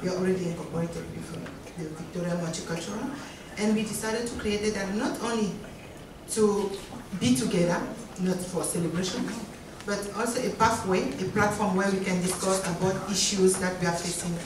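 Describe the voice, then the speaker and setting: A woman from Cameroon speaking on a panel in a lively, chatty way, with playful asides. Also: medium pitch, fairly quick. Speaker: association co-founder on a panel. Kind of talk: panel talk. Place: large conference room. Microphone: handheld mic, heard from far. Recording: echoey room, distant, some noise.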